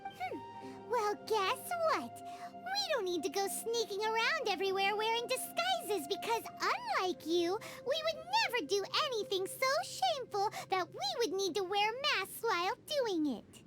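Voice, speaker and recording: A tiny bratty fairy companion chirps in a very high, squeaky, childlike voice that leaps all over the place. She bosses everyone around and talks about herself in the third person. Loud game music plays under her lines. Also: very animated, moderate pace. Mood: smug. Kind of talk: video game voice acting.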